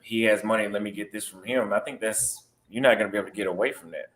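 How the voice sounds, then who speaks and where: A man's voice: fairly low pitch, fairly even intonation, fairly bright tone. Texan-accented man, defensive guest in a casual podcast debate. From Texas, United States. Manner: defensive, halting restarts.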